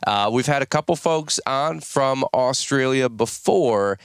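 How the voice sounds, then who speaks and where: An American man in his thirties hosting a podcast in casual, rambling chat, his voice a little raspy from just waking up. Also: medium pitch, unhurried, dry recording, clean studio sound. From United States. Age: 30s. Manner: chatty, rambling, folksy. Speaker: podcast host.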